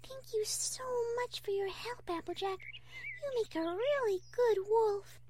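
A woman voicing a timid, gentle young female pegasus pony in a cartoon fan story, with a soft, breathy, hesitant and sweetly polite delivery. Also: very high voice, unhurried, background noise, pitched above the performer's own voice. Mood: sweet, grateful.

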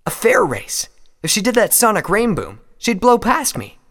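Man doing a brash male pegasus courier, high-pitched. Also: normal speed, long reverb tail, exaggerated voice. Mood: serious, determined. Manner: complaining, excitable.

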